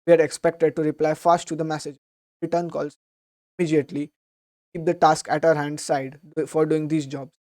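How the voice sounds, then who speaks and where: Young adult man, slightly hoarse, dark mellow tone, steady earnest explainer. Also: a little high, moderate pace. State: slightly hoarse from a sore throat. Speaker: book summary host. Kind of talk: explanatory book summary talk.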